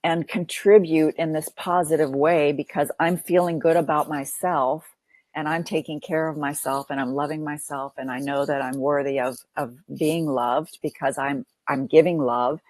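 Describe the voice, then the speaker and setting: A woman's voice: low voice, fairly even intonation, moderate pace, darkish tone, dry recording. A woman counselor speaking as a podcast guest, earnest and affirming, often repeating phrases as she builds a point. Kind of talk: podcast interview.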